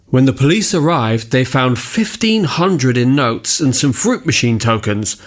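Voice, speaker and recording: A man playing an earnest local reporter, narrating a scripted village news story in a measured way for audio drama. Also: medium pitch, sing-song.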